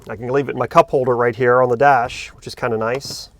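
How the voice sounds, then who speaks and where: A man's voice: medium pitch, fairly even intonation, quick pace. A man talking casually to camera as the host of a boating video. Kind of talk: casual vlog talk to camera. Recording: noisy outdoor background sound.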